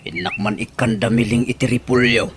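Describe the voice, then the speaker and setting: A man's voice: mid-range voice, steady tone, brisk, slight background noise, lightly acted. An actor playing an easygoing older village man in an Ilocano comedy radio drama, with an Ilocano accent. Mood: casual, sheepish.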